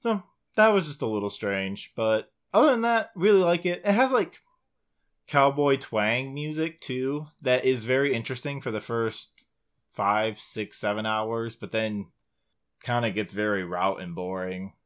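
A man's voice: mid-range voice, lively intonation, a little slow, clean tone. Man chatting casually about video games. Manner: informal, swears casually. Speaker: podcast co-host.

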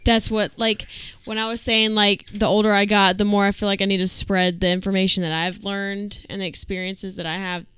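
Podcast host, female, late twenties, American. Smooth medium-pitched voice, relaxed wording, sweary conversational delivery. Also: brisk, hardly any pauses. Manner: informal, sweary. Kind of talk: casual chat.

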